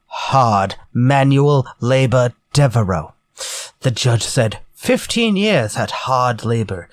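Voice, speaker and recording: An actor playing a vengeful, menacing ex-convict murderer in a retro radio drama, theatrical, taunting and deliberate. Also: male voice, mid-range voice. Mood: indignant, threatening.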